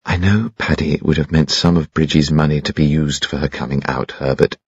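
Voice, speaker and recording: A genteel society mother, voiced by a man, soft and fond but worn out. The voice lifts gently above a low, husky base, and the recording sounds dull. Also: steady tone, brisk, no echo, heavily acted. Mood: gentle. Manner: soft, affectionate and tired. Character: genteel, weary aristocratic mother.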